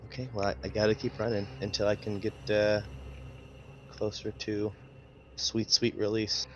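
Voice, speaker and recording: A man's voice: on the low side, level tone, normal speed, fairly bright tone, lo-fi sound, slight background noise. A man talking casually and dryly as a player in a tabletop roleplaying game.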